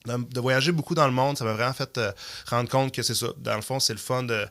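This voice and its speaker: A man's voice: mid-range voice, fairly even intonation, quick pace, pushed and bright. A man in his thirties with a Québécois French accent, a guest chatting casually in a podcast studio, rambling with many fillers. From Canada. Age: 30s. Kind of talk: casual interview talk.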